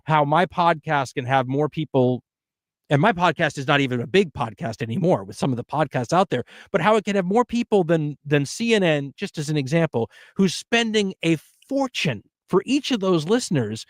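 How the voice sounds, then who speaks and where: A middle-aged man hosting a podcast, telling stories in an animated, emphatic way during casual conversation. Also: on the high side, moderate pace, no echo, studio quality. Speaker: podcast host.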